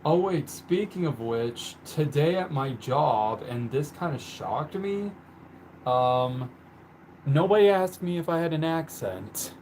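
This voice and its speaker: A man's voice: fairly high pitch, lively intonation, takes it slowly, darkish tone, a little hiss or hum. A man casually chatting on his livestream while he paints, rambling with lots of fillers. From born in the country where he lives. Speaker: livestream host. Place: indoors at home, painting on the floor.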